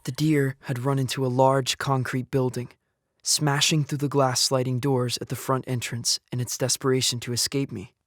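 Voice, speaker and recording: A man playing a desperate, starving young survivor of an apocalypse in a scripted first-person audio drama, with a measured, grave manner. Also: mid-range voice, level tone, no echo, studio quality, lightly acted. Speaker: lead actor and narrator. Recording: music under the voice. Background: music.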